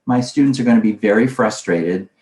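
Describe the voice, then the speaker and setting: A man's voice: lowish voice, a little fast, a little mellow. A man giving a recorded conference talk on language teaching, conversational, often asking himself questions aloud. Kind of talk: recorded presentation lecture. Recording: roomy echo.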